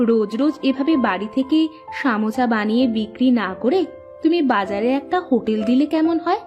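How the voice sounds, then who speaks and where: A woman's voice: on the high side, expressive, fairly quick, dull audio, over music, close to an everyday voice. A woman playing a caring, hopeful cartoon housewife, homely and coaxing with her husband. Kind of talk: cartoon story dialogue.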